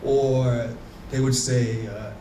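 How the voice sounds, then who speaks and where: A man with a Haitian accent talks on a conference panel in an earnest, searching way, often restarting his sentences. His voice sits at an ordinary pitch. It is caught from a distance in an echoey room with a faint hum behind it. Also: fairly even intonation, takes it slowly, dark tone. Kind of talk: reflective personal talk on a panel.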